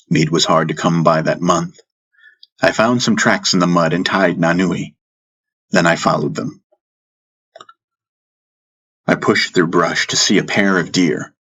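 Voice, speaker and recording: A man narrating a first-person fantasy audiobook in a measured way, with dramatic pauses. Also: sits low, fairly bright tone, breathy edge. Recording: music bed under the voice. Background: background music.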